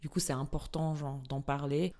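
A woman's voice: low-pitched, steady tone, normal speed, darkish tone. A woman who is not a native French speaker, co-hosting a podcast in a casual, earnest chat, laughing easily and rephrasing as she goes. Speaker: podcast co-host. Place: studio in a Swiss city.

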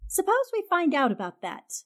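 An actress playing a fearless, sharp-tongued 1940s newspaperwoman in a radio drama, brisk and commanding. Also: very high-pitched, huge pitch swings, full character voice. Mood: cool, sarcastic.

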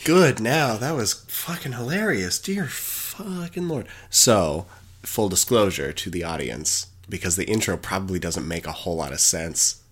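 A man with a cold talks in a lively, swinging voice as he co-hosts a podcast. He laughs often and swears casually, and he sounds a little sniffly throughout. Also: sits low, unhurried, slightly rough. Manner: laughing, profane banter. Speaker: podcast co-host. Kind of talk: casual chat.

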